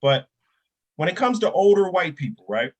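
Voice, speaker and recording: A man's voice: sits high, sing-song, moderate pace. A man in his thirties hosting a casual podcast chat, talking bluntly and animatedly and swearing freely. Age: 30s. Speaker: podcast host.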